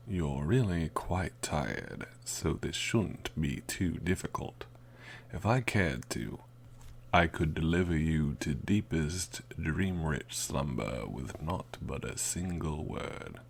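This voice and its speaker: Casting: male actor as a mysterious, lonely carnival mesmer for ASMR sleep roleplay; deep creaky voice, soft, courtly and formal. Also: slight background noise, exaggerated voice. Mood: soothing, hypnotic. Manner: soft-spoken, formal old-fashioned theatrical phrasing.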